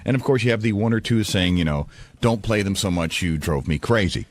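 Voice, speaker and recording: A man's voice: fairly low pitch, fairly quick, no echo. A man who works sound effects on a radio show, telling anecdotes in casual conversation.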